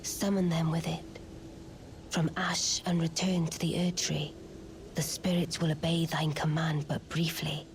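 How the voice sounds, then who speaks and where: An actress playing an enigmatic young witch in a fantasy game, aloof and coolly courteous, with soft, breathy, archaic courtly speech. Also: low-pitched, level tone, unhurried, a little hiss or hum. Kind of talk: dramatic fantasy game acting.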